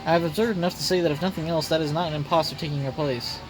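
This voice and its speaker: A man voicing an analytical gentleman detective in a game, speaking formally and at length. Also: a little high, fairly even intonation, music in the background, close to an everyday voice, low-quality recording. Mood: detached. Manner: formal, measured, long-winded.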